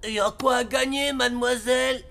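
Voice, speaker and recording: A woman's voice: high voice, fairly even intonation, normal speed, creaky, fairly bright tone. A woman voicing a sensible young pupil in a cartoon. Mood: practical.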